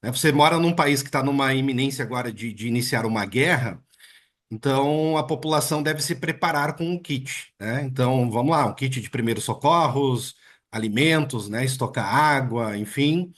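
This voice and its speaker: A man hosts an interview at an easy, conversational pace. His voice is slightly husky, and his sentences lean on frequent tag questions. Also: medium pitch, normal speed. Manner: relaxed, chatty, with many filler "né"s. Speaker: interviewer and host.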